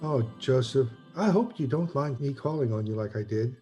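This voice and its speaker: An actor playing an earnest, apologetic, bumbling elderly guardian angel in an old-time radio play. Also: male voice, medium pitch, animated, moderate pace, warm tone, soft background music, lightly acted. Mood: meek, apologetic.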